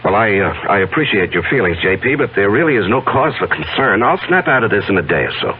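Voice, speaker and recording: An actor playing a troubled, earnest young man with a secret, halting and melodramatic in a 1970s radio drama. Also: fairly low pitch, big pitch swings. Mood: nervous politeness. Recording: muffled narrowband radio with hum.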